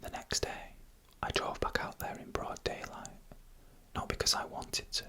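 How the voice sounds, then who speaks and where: A narrator telling stories in a soft, unhurried ASMR whisper, close to the microphone. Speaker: narrator of scary UFO encounter stories. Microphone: close microphone for headphone listening.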